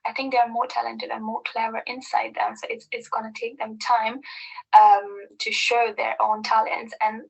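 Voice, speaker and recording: A woman's voice: fairly high pitch, flat delivery, normal speed, creaky, breathy edge, rough recording. A young woman from Afghanistan whose first language is Pashto, a university student giving earnest, hesitant advice in a recorded message with many fillers. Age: young adult. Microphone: recorded message, played in webinar.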